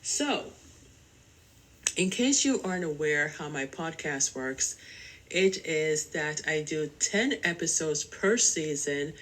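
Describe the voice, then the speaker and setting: A woman with a slightly low voice hosts a casual solo podcast. She talks at an unhurried, slow pace. Her manner is chatty and rambling, addressing listeners directly. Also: animated, fairly bright tone, low-quality recording. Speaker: podcast host.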